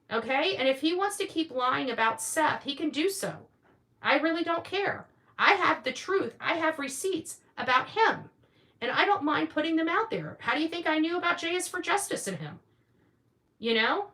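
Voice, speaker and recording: A woman's voice: sits high, pushed and bright. A woman speaking in a casual, opinionated monologue to viewers, blunt and combative, often repeating phrases for emphasis. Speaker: online commentary host.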